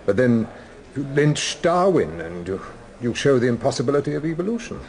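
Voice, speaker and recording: An actor playing a genial, self-satisfied older British scientist in a radio drama, wordy, donnish and theatrical. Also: male voice, medium pitch, big pitch swings, brisk, darkish tone. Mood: lecturing, earnest.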